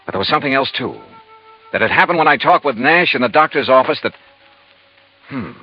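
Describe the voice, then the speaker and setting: A man acting a hard-boiled 1950s radio investigator, fast and clipped, with a lively swing in his pitch. He is dry in narration and insistent with questions, on a dull old recording. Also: lightly acted. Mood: dry, intent. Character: brisk, hard-boiled male insurance investigator. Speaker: narrator and lead actor. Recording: dull vintage radio recording, music behind.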